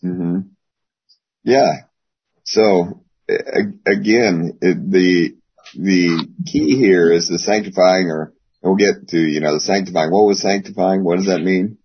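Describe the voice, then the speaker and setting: Hoarse man, Bible class teacher, very low husky voice, rambling delivery, dull lo-fi call audio. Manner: rambling, with many pauses. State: hoarse, losing his voice.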